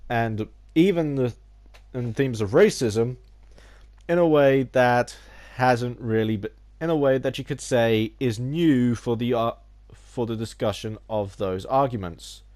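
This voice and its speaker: A man's voice: mid-range voice, measured pace, darkish tone. A man with a cold and a slightly nasal voice, talking casually about games in a rambling way with many restarts. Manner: theatrical opening greeting. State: has a cold, a little nasal. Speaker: gaming YouTuber. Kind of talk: casual video essay.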